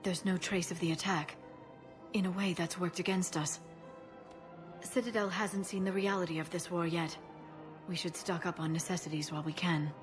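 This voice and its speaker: A woman's voice: lowish voice, fairly even intonation, moderate pace, close to an everyday voice. A woman playing a composed, businesslike military officer in a video game, serious in manner. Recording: music under the dialogue.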